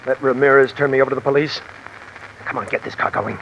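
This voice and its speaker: Man playing jumpy suspicious crook, talks fast and agitated, muffled 50s radio play w hiss. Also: mid-range voice, steady tone, close to an everyday voice. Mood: agitated, accusing. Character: nervous, suspicious man roped into a robbery. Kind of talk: 1950s radio drama acting. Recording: muffled noisy vintage radio, rain behind.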